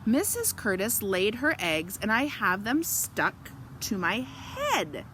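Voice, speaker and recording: A woman voicing a proud, contented father fish in a picture story, giving chipper, unhurried replies. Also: medium pitch, wildly swooping pitch, pushed and bright, a little hiss or hum, full character voice.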